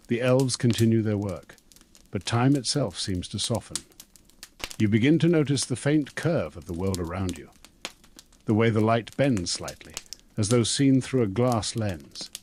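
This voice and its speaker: A man narrating a scripted guided sleep meditation in a slow, soothing, gentle manner. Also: fairly low pitch, a little hiss or hum.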